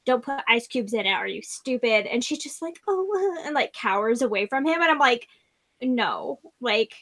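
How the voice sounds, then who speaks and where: A woman's voice: fairly high pitch, lively intonation, moderate pace, a bit bright. A woman hosting a casual book discussion, giving blunt opinions with lots of "like". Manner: frequent "like", opinionated. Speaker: discussion host. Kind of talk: casual chat about books.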